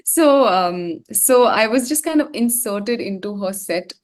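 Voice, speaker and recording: A woman's voice: medium pitch, lively intonation, measured pace. A woman being interviewed as a singer, talking casually in a slangy, rambling way. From India. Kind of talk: casual chat.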